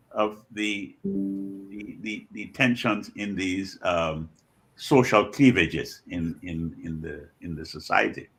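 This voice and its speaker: Elderly Liberian man, husky and deliberate. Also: lowish voice, bright, forceful tone. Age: 70s or older. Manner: measured, deliberate phrasing with frequent pauses and repetitions. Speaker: guest author and scholar. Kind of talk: reflective interview talk.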